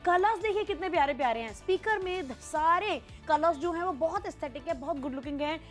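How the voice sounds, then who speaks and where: A woman's voice: very high voice, lively intonation, fairly quick, faint music behind. A young woman presenting a product on a home shopping show in a chatty, casual way, slipping English phrases into her talk. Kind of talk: teleshopping sales chat.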